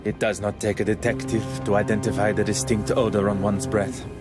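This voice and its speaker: An actor playing a shrewd, courteous, self-assured detective with a Belgian French accent, speaking formally and precisely in a game. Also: male voice, fairly low pitch, little pitch movement, brisk, over music, lightly acted. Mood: measured, probing. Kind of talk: scripted game dialogue and narration.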